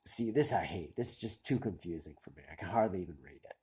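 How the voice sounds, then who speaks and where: A man's voice: low-pitched, animated, quick pace, a little mellow, husky. Nasal, stuffy-nosed man musing aloud. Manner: self-deprecating, hesitant, rambling. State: stuffy nose from a cold. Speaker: stock-picking channel host. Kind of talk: casual thinking-aloud commentary.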